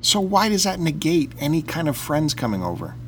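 A man's voice: fairly high pitch, big pitch swings, brisk, a little hiss or hum. A man co-hosting a casual podcast, teasing his friend, laughing easily and pressing him with questions. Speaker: podcast co-host. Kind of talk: casual banter.

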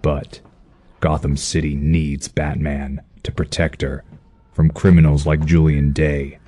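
A man voicing a brooding masked vigilante, narrating in a terse, gruff, hard-boiled manner. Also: very deep voice, level tone, unhurried, mellow. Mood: grim. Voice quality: husky, gruff. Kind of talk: audio drama narration. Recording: faint music behind.

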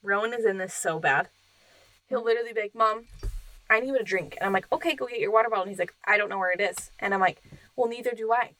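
A woman co-hosting a casual podcast chat, with a low, slightly raspy voice that is still a bit hoarse, telling stories with lots of animation. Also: fast, no room sound, rough recording. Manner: animated storytelling, laughing often. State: slightly hoarse after losing her voice. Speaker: podcast co-host.

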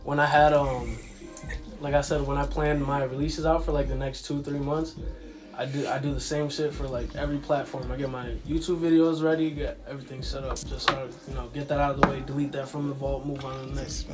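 A man's voice: mid-range voice, level tone, brisk, over music. A young man from Massachusetts whose first language is Ukrainian, a guest talking casually on a podcast with many fillers. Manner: laid-back, filler-heavy, laughs often. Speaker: guest rapper.

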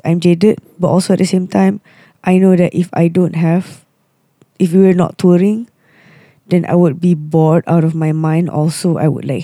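A hoarse speaker with a Malaysian English accent talks casually, rambling and halting with many restarts. The voice is gravelly and very dark, and the close recording is completely dry. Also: mid-range voice, no room sound. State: hoarse, losing voice and unwell. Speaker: touring musician and podcast co-host. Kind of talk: casual chat.

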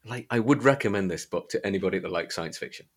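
A man hosting a podcast, chatting casually about books in a halting way, often repeating and correcting himself. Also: low voice, animated, husky. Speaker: podcast host. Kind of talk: casual book chat.

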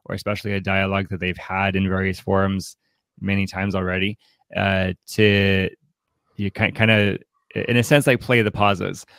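A man co-hosting a casual book discussion, speaking haltingly and repeating words as he thinks aloud. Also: low voice, little pitch movement, vocal fry, fairly bright tone, dry recording, studio quality. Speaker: podcast co-host and writer.

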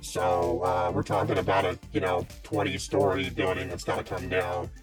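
A man playing a cool, shrewd underworld weapons buyer in a tabletop role-play, negotiating in a measured, businesslike way. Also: deep voice, little pitch movement, music in the background, close to an everyday voice. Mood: businesslike, cordial.

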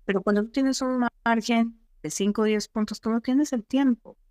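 A woman's voice: fairly high pitch, steady tone, moderate pace, a little mellow, no room sound, clean studio sound. A middle-aged woman, an immigration consultant co-hosting a talk show, explaining her points emphatically and often asking rhetorical questions. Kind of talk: advisory talk show chat.

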